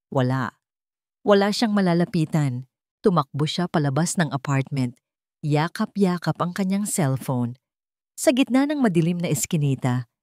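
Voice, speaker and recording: A woman's voice: lowish voice, darkish tone, no room sound, clean studio sound. A woman reading scripted fiction as a narrator, in a solemn, emotive storytelling style.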